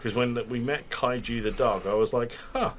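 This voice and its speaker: A man's voice: medium pitch, moderate pace, fairly bright tone, slight background noise. A British man chatting casually as a podcast host, giving blunt, critical opinions. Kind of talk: casual chat, opinionated review.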